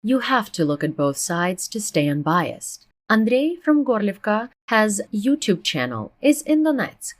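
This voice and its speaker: A woman's voice: medium pitch, sing-song, unhurried, few pauses. A woman hosting an interview, reading viewer comments aloud in a dry, wry way. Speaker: interview host.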